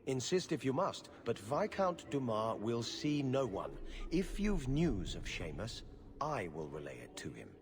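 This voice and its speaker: Game role: guarded, clipped official, very lively intonation, formal disapproval, long reverb of a great hall. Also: male voice, mid-range voice, unhurried, noisy background. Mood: officious. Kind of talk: video game acting.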